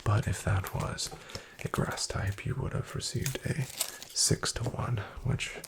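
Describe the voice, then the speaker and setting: A man playing a shady, guarded back-alley card dealer in a soft-spoken ASMR roleplay, half-whispering his clipped, unhurried patter with a gravelly creak. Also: mid-range voice, background noise. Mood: cool, businesslike.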